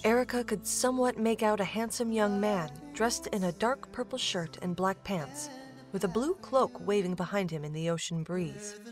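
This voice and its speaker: A woman's voice: mid-range voice, moderate pace. A woman reading scripted narration for a fantasy audio drama. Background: music.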